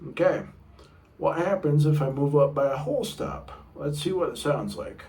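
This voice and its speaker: A middle-aged man with a baritone voice gives a relaxed, rambling guitar lesson. His speaking pitch sits a little high for a man, and he is heard from a bit away from the mic. Guitar strums ring behind him. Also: moderate pace. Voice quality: low baritone voice. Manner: chatty, rambling, sings short demo notes. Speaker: guitar teacher. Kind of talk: casual video lesson. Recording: room mic a bit far off, guitar playing.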